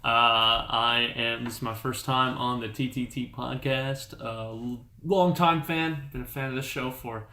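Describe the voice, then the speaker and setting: A man's voice: mid-range voice, steady tone, a little slow, few pauses, bright, forceful tone. A man in his twenties, a podcast guest, chatting in a joking, rambling way with many fillers. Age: 20s. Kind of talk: casual chat. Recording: echoey room with faint hiss.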